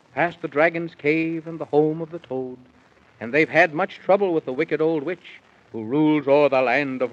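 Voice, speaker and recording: A man narrating a children's story in rhyme with theatrical sing-song delivery, in the style of 1930s radio. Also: a little high. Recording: dull, muffled 1930s radio recording.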